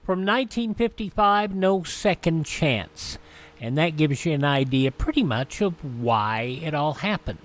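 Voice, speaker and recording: A man hosting a classic radio podcast. His pitch swings up and down in a lively way as he presents vintage programs. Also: measured pace. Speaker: podcast host. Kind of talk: introducing old-time radio programs.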